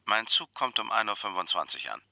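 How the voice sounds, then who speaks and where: A man's voice: fairly low pitch, sing-song, fairly quick, gravelly, sharp tone, exaggerated voice. An actor playing a secretive, commanding man in a noir radio play, clipped, evasive and controlled. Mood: secretive, brisk. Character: secretive, commanding male client in spy intrigue.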